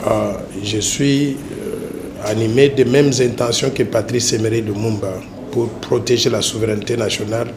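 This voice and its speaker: A man in his sixties from Congo, speaking, presents himself formally in an interview in a measured, deliberate way with hesitant pauses. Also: fairly low pitch, steady tone. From Democratic Republic of the Congo. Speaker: interview guest, political candidate. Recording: noisy ambient background.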